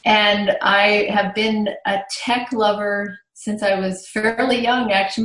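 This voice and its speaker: A woman from Canada, raised bilingual in French and English, speaks as a podcast guest. She explains things in a conversational, storytelling way at a middle pitch. The remote recording is roomy and echoing. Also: a bit bright. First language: bilingual French and English from childhood. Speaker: podcast guest, tech marketing executive. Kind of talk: conversational interview answers, explaining.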